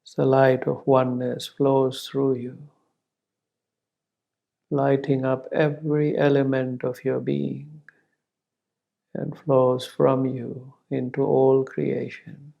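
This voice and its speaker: Male guide for spiritual meditation: reverent, unhurried, steady in pitch with noticeable fry, on a dull recording. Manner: gentle, reverent, measured with long pauses and repeated words.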